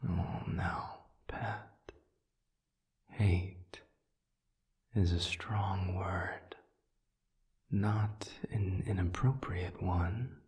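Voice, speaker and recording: A man playing a suave, patient demon in an audio roleplay, speaking in a breathy half-whisper with measured, formal, soothing phrasing. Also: low-pitched, fairly even intonation, a little mellow, heavily acted. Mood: gently probing. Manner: measured, deliberate, formal phrasing with long pauses. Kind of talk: audio roleplay, intimate one-sided dialogue.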